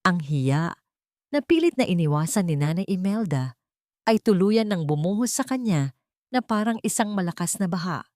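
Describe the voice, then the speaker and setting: A woman's voice: fairly low pitch, animated, moderate pace, darkish tone, no echo, studio quality. A woman reading a scripted dramatic first-person story as an audiobook narrator.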